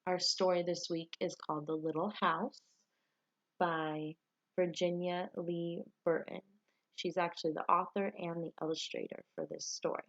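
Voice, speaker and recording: A woman teacher reading a storybook aloud to pupils and giving them instructions in a clear way suited to children. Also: fairly low pitch, level tone, normal speed, a bit bright, highs cut off.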